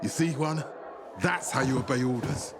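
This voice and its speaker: A man's voice: medium pitch, moderate pace, natural voice. An actor playing a self-assured, commanding male militia leader in a video game, firm and authoritative. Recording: loud crowd and ambient noise.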